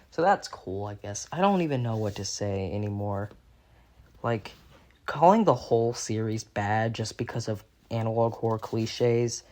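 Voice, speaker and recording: A young man in an unscripted critical rant as a video commentator, halting and repeating himself as he gropes for words, exasperated and sweary. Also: medium pitch, a little mellow. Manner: halting, repetitive, groping for words.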